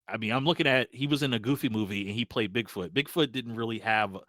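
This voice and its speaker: A man's voice: medium pitch, quick pace, fairly bright tone, dry recording, studio quality. Casual male podcast co-host.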